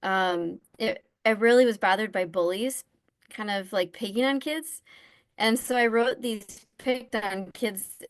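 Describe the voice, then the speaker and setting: A woman author, a guest, chatting casually over a video call about how she started writing, soft-spoken with plenty of fillers. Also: medium pitch, measured pace. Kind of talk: casual storytelling about her writing path.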